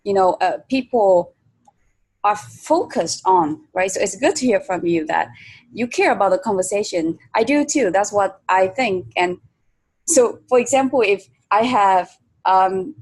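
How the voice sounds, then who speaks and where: Slow-talking woman interviewer. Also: medium pitch, animated, a little mellow, breathy edge. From Vietnam. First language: Vietnamese. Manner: hesitant, many fillers. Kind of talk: conversational interview.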